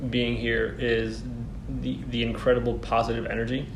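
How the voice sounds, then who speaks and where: A man originally from Poland, a conducting student, talking in a chatty, animated way with fillers. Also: mid-range voice, normal speed, gravelly. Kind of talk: casual interview answers. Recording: roomy with background noise.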